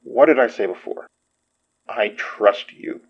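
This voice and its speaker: A man playing a wary, loyal friend in a horror audio drama, gravelly and dry in manner. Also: medium pitch, lively intonation, a little slow, exaggerated voice. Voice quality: gravelly, husky. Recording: slightly dull sound.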